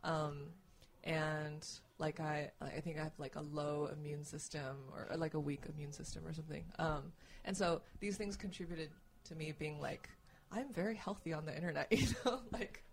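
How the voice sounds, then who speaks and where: A woman from Northeast China speaks in a low voice. She chats casually and personally, in halting bursts peppered with "like". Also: a little hiss or hum. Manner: chatty, halting, heavy use of "like".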